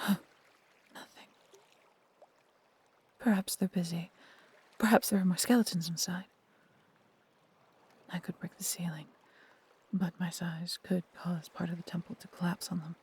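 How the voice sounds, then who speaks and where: Breathy, husky woman as a devoted dragon. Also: lowish voice, steady tone, moderate pace, pauses often, warm tone, dry recording. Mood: worried. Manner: tender, theatrical, old-fashioned formal phrasing. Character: devoted, protective, proud injured female dragon, huge. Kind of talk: dramatic fantasy audio roleplay to the listener.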